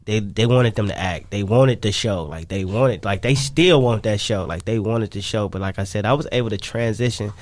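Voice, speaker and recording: A man's voice: lowish voice, moderate pace, few pauses, no room sound. A man talking casually as an interview guest, repeating himself and often saying "you know what I'm saying.". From Chicago, United States. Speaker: interview guest, music artist.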